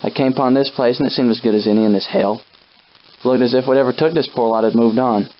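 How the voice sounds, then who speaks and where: Guy doing a folksy old timey soldier sidekick for a video game, mid pitched, plucky but rattled, kinda muffled lofi audio. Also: fairly even intonation, fast talker, close to an everyday voice. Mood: wary, steady. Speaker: game character companion. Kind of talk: scripted video game character acting, old-time dialect.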